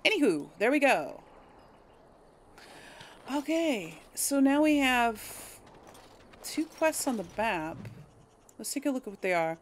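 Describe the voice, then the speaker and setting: Woman, middle-pitched, unhurried, casual gaming commentary, musing aloud, noisy background with game ambience. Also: sing-song. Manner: musing, hesitant self-correcting talk to viewers. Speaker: gamer hosting a role-play playthrough.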